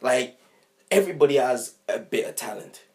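A man's voice: mid-range voice, expressive, moderate pace, darkish tone. A young man chatting on a podcast, emphatic and repeating his points. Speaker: podcast co-host. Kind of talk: casual chat.

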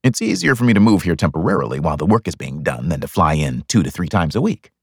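Man playing a husky, flirtatious bachelor. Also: very deep voice, sing-song, fast talker, dry recording, studio quality, voice pushed down, full character voice. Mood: confident, persuasive. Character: confident, flirtatious bachelor club owner, smooth and teasing.

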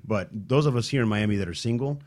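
A man's voice: fairly low pitch, fast, a little mellow. A man on a talk show who rambles and cracks jokes. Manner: rambling and wisecracking. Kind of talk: casual chat.

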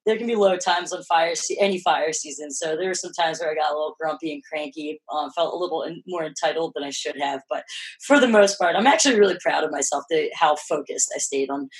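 A woman with a Philly-Jersey accent and a low voice, chatting casually as an interview guest, peppering her talk with "like" and swear words. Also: brisk, slightly rough. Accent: Philadelphia-New Jersey. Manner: low-voiced, animated, sprinkles "like" and swears freely. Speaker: podcast guest.

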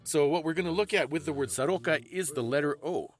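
A man's voice: on the high side, fast talker. A man hosting a conversational talk, explaining things in an informative, scholarly way. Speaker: podcast host explaining folklore.

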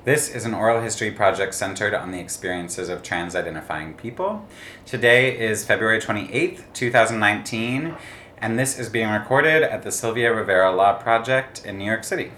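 A man conducting an oral history interview, asking questions in a soft-spoken, casual way from an office. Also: on the low side, fairly quick, slight background noise. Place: office indoors. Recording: roomy echo.

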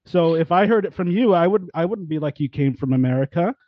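A man's voice: a little high, lively intonation, brisk, dry recording, highs cut off. A man hosting a casual interview, rambling and interrupting himself as he chats. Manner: chatty, rambling, self-interrupting.

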